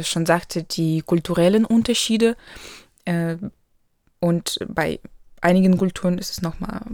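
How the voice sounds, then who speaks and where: A young woman tells her own story as an international student, in a slightly low voice. She talks hesitantly, often pausing and filling gaps with soft fillers. The sound is dry and close, with no room echo. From Ukraine. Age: 20s. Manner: hesitant, frequent pauses and fillers. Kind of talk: personal account.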